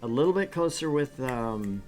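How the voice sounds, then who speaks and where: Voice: mid-range voice, animated, normal speed, a little mellow, faint music behind. A middle-aged host opening a gaming stream, rambling with fillers and joking at their own expense. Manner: rambling, filler-heavy, self-deprecating. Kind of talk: casual stream host talk.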